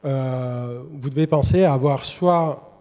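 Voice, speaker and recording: A man's voice: mid-range voice, slow, dark tone. A man, a literary translator, giving a presentation to students, hesitant with many fillers and restarts. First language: Italian. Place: university lecture hall. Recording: long reverb in a large hall.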